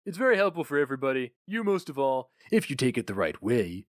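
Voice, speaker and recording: A man performs a self-important office boss in his thirties for a dramatic story reading. He explains things briskly and with condescension. His pitch is raised and the sound is dry. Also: very animated, no echo, close to an everyday voice. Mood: brisk, explaining. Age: 30s.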